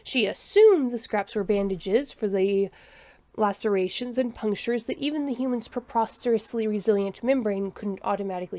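A woman's voice: mid-range voice, a little mellow, no echo. A woman narrating a scripted story as an audio narrator.

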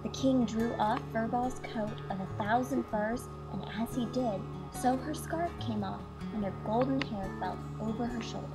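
A woman narrating a fairy tale as a read-aloud storyteller, in measured storybook phrasing. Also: on the high side, rough recording. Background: music.

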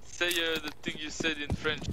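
A fairly high-voiced man rants at his teammates over voice chat in an online shooter. He snaps orders and complaints, and loud gunfire and clicks sit under his voice. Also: level tone, normal speed. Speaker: player in online match. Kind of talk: in-game voice chat. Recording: gameplay clip with loud noise.

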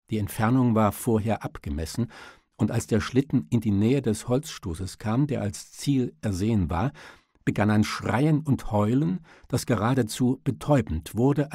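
Low, husky male audiobook narrator. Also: warm tone, no echo, studio quality. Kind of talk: dramatic scripted literary narration.

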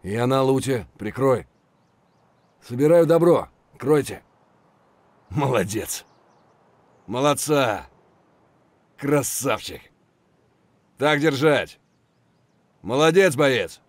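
An actor playing a gruff, foul-mouthed Russian soldier with a husky voice, speaking in terse phrases for a military shooter game. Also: male voice, medium pitch, animated, takes it slowly, pauses often, no echo. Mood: terse, businesslike.

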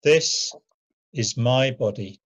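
A man playing a grave, solemn male teacher and prophet in a deliberate scripture drama reading. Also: mid-range voice. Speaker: amateur reader in church dramatic reading. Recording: dull lo-fi home recording.

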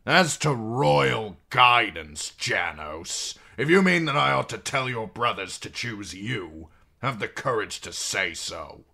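A man's voice: on the low side, sing-song, slow, gravelly, pushed and bright, pitched above the performer's own voice, exaggerated voice. A man voicing a stern, cold middle-aged king with a curt, commanding manner.

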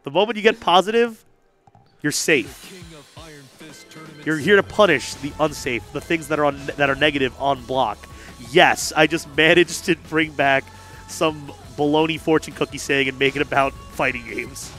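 A young man commentating a live fighting game tournament, joking and getting loud whenever the play heats up. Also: fairly high pitch, moderate pace, soft background music. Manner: excitable, jokey, gets loud when hyped. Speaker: esports commentator. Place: large hangar hall at a gaming event.

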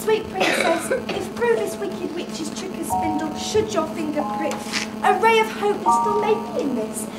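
A very high-voiced magical enchantress played by a woman declaims on a pantomime stage. Her projected lines echo round a theatre, with loud music in the recording. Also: lively intonation, moderate pace, hardly any pauses. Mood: solemn. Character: theatrical pantomime fairy and gloating wicked sorceress. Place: theatre stage, filmed from the audience.

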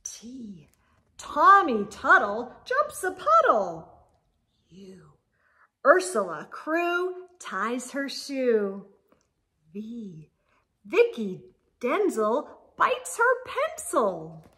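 A middle-aged woman reading a picture book aloud to children in an animated, sing-song storyteller manner. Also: fairly high pitch, extremely slow. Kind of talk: expressive children's read-aloud. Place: indoor room lined with bookshelves.

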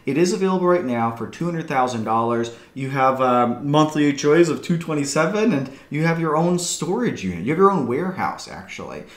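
A man's voice: a little high, lively intonation, brisk, hardly any pauses. Upbeat man broker in echoey room. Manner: enthusiastic, chatty, conversational. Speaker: real estate broker. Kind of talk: upbeat property walkthrough video. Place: small room indoors.

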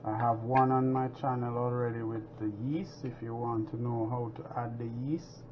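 A man's voice: mid-range voice, fairly even intonation, normal speed, muffled recording, background noise. A man from Jamaica hosting a home cooking show, chatting casually and proudly as he makes a pizza in his kitchen.

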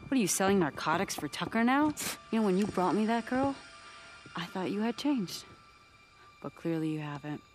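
A woman's voice: mid-range voice, lively intonation, normal speed, faint music behind, lightly acted. An actress playing a tough, sardonic woman survivor in a video game drama. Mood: confrontational, sarcastic. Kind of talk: cinematic video game acting.

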